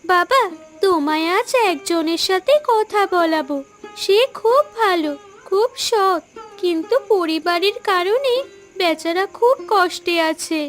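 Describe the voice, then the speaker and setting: A woman voicing a gentle, loyal young village woman in a cartoon, earnest and reassuring. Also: very high-pitched, slow, faint music behind, heavily acted. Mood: pleading.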